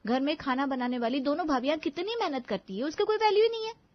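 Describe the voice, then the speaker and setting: A woman voicing an exasperated, sharp-tongued young daughter-in-law in a cartoon family story, complaining emphatically and sarcastically. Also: high voice, animated, fast, no echo, highs cut off. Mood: indignant. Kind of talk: animated family story acting.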